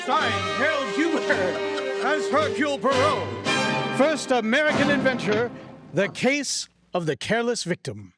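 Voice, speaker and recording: A theatrical forties radio announcer. The voice is pitched extremely high and declaims grandly, with music prominent behind it. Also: male voice, lively intonation, moderate pace. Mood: grand, suspenseful. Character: dramatic, booming radio show announcer. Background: loud musical accompaniment.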